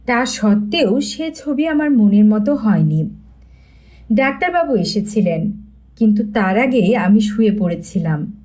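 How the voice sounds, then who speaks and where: Female audiobook narrator, high register, dark mellow timbre, scripted storytelling.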